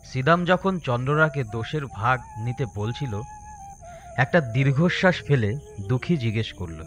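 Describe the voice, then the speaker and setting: Guy narrating a story from a script, normal mid pitch voice. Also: expressive, moderate pace, a bit bright.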